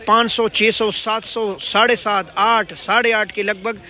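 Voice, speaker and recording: A man's voice: high-pitched, little pitch movement, measured pace, bright, forceful tone. A man in his thirties with a Pakistani accent, presenting an informational market report in an earnest, projected way. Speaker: host of market rate updates.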